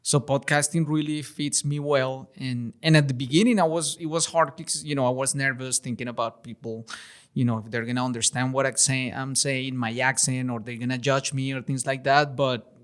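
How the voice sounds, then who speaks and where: A man hosting a podcast in a casual interview, friendly and encouraging with quick short replies. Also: mid-range voice, steady tone, clear, resonant tone. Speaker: podcast host.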